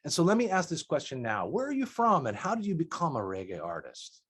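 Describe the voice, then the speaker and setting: A man hosting a casual interview, praising his guest generously and letting his phrases trail off softly. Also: a little high, wildly swooping pitch, a little fast, slightly rough. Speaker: interviewer host.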